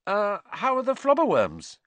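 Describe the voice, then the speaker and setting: A man's voice: sits high, huge pitch swings, normal speed, fairly bright tone, no echo, voice pushed up, exaggerated voice. A man voicing an awkward, well-meaning schoolboy who is eager to console, in an audiobook.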